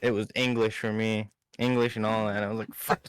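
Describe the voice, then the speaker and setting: A man's voice: fairly low pitch, little pitch movement, a little fast, noisy background. A young man with a Southern US accent, talking bluntly and casually while gaming. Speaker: gaming streamer.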